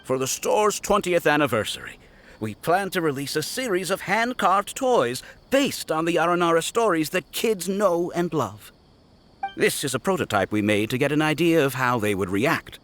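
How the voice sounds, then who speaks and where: A man playing a level-headed, dry toy shop worker who explains things in a measured way in a video game. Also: a little high, expressive, fairly bright tone. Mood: explaining, resigned.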